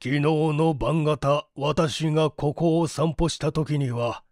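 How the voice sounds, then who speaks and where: A man voicing an anxious, excitable country gentleman in a dramatic story reading, with an agitated, emphatic delivery. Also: a little high, slow pace, no room sound, clean studio sound. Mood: tense, grave.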